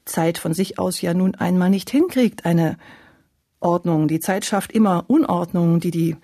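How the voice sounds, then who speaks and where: A pondering woman in a poetic radio play. Her voice is mellow and somewhat breathy, with halting, broken phrasing. It is recorded very dry. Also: lowish voice, no room sound. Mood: pensive. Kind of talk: poetic radio drama recitation.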